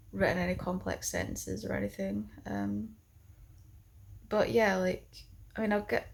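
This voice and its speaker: A young woman talks casually to her camera, her sentences wandering and packed with fillers. Her voice has a grainy, gravelly texture with a breathy, husky edge. She sits at a middling pitch for a woman. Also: darkish tone. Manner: rambling, full of "like" and "um". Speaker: vlogger.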